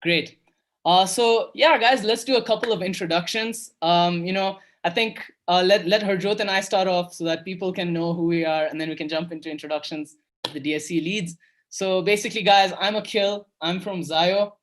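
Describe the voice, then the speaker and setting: A man's voice: high-pitched, fairly even intonation, normal speed, fairly bright tone. A young man raised in Kenya hosting an online coding workshop over a video call, upbeat and chatty with lots of fillers. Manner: upbeat, filler-heavy, repeats "awesome". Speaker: workshop host. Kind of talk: casual online workshop talk.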